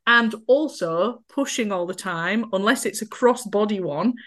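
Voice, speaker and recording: A woman's voice: mid-range voice, measured pace, a bit bright. A woman in her thirties with a Yorkshire accent, chatting cheerfully as a podcast interview guest and laughing easily. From North Yorkshire, England. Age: 30s. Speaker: guest, accessibility consultant.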